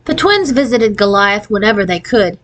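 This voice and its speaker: A woman's voice: medium pitch, lively intonation, normal speed, highs cut off. Woman reading a children's story aloud. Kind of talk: expressive read-aloud narration.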